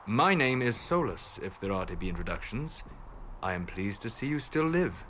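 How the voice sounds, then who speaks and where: An actor playing a calm, scholarly elf mage in a video game, speaking in measured, formal, erudite phrases. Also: male voice, fairly low pitch, a little hiss or hum. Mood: grave, measured. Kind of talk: video game cutscene acting.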